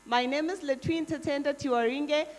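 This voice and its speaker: A woman with a bright, high voice delivers a polished, proud welcome. Her speech is formal and measured. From Zimbabwe. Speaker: pageant contestant.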